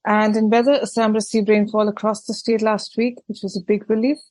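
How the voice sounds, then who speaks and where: A woman reading a tea industry news report, steady with small slips. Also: medium pitch, fairly quick, no room sound.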